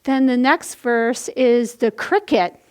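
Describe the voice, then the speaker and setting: A woman with a high voice speaks slowly, as a children's storytime host would. She explains simple movements step by step, demonstrating as she goes. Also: clean tone.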